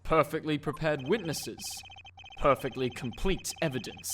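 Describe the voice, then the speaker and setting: A man voicing a serious, composed prosecutor in a video game fandub, speaking in a measured, earnest way. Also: a little high, fairly bright tone, slight background noise. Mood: intent.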